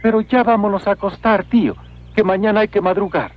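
An actor playing a humble, devout young peasant in an animated film, earnest and deferential, with a Mexican accent. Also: male voice, high-pitched, fairly quick, background noise, natural voice. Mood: cheerful, earnest. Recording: dull lo-fi old film soundtrack.

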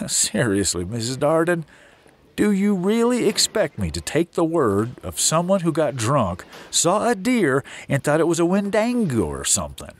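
An actor playing a weary, skeptical sheriff in an audio drama. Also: male voice, fairly high pitch, wildly swooping pitch, moderate pace, a little hiss or hum. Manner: weary, sceptical. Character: weary, skeptical small-town American sheriff.

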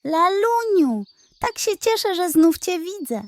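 Woman doing an eager little girl, high-pitched. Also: sing-song, slow pace, no room sound, full character voice. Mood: delighted. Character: eager, brave young girl adventurer, sweet and wide-eyed. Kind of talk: children's storybook character voice.

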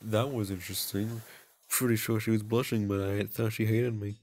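A man with a fairly low voice narrates a visual novel. He reads casually and drops in his own comments, stumbling and restarting as he goes. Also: fairly even intonation, darkish tone. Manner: halting, stumbles and restarts while reading. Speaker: video game player and narrator.

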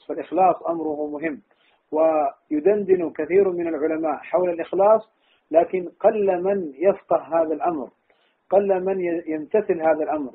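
Rapid-fire man on a phone-like line. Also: fairly high pitch, husky. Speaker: Islamic scholar. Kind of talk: religious teaching, spoken answer in a Q and A. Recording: tinny phone-like, narrow-band audio.

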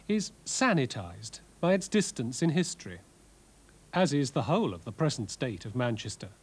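A man giving scripted, scholarly documentary narration in a measured way, with wry asides. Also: fairly high pitch, slightly rough. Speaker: presenter, art and architecture lecturer. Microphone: voice-over narration.

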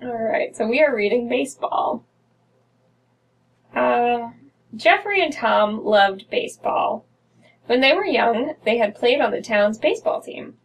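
A young American woman with a husky, slightly high voice co-hosts a story-reading show. She reads aloud and chats casually, sitting a little away from the mic. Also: expressive, a little slow. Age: young adult. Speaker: co-host reading stories aloud. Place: small dim room indoors. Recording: somewhat distant from mic.

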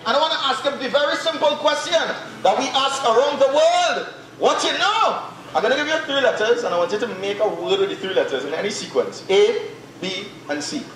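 A man's voice: extremely high pitch, lively intonation, fairly quick, fairly bright tone. A man with a Trinidadian accent hosting a street quiz on a beach, upbeat and hyping up the people he interviews. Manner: upbeat, hyping, laughing often. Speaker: street quiz show host. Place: outdoors on a beach. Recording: played back through a reaction video, echoey with outdoor noise.

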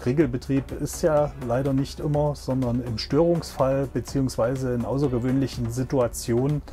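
A middle-aged train driver talks plainly in an interview, now and then pausing with fillers. His voice is dark and mellow at a middle pitch. Soft music sits underneath. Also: fairly even intonation. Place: locomotive cab.

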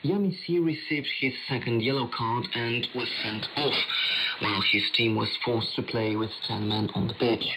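Through clear shortwave static and interference comes a man's mid-pitched voice. He is a radio presenter reading a scripted sports bulletin in a newsreading style. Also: normal speed. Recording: noisy shortwave radio reception.

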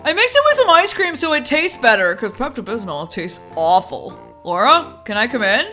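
A woman's voice: a little high, very animated, moderate pace, pushed and bright, soft background music. A woman playing a worried, goofy young husband in a children's skit, fretful and dramatic. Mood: concerned, goofy. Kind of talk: playful skit role-play for children.

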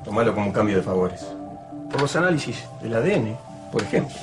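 A man's voice: lowish voice, big pitch swings, fast talker. An actor playing a pragmatic, shady, businesslike man in a telenovela, in his forties with an Argentine accent. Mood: matter-of-fact. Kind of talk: telenovela acting. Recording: music playing under the dialogue.

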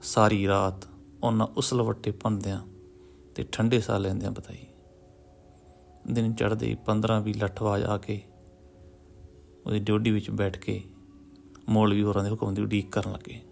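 A man narrates a literary story in a measured, solemn way, pausing between short phrases. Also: lowish voice, level tone, creaky. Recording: music behind the voice. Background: background music.

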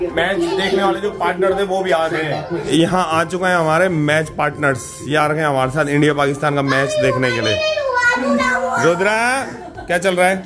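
Chatty man vlogging over loud music. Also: a little high, moderate pace. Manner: chatty, jokey, addresses viewers directly. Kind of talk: casual selfie vlog chat. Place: shops, outdoor grounds and a home. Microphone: camera held at arm's length. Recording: loud music and crowd behind.

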